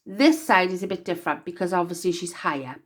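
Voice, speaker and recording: A woman's voice: on the low side, expressive, quick pace. Chatty woman, nasal from a cold. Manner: chatty, rambling with many fillers. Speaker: crafter demonstrating box making. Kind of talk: casual craft-along chat.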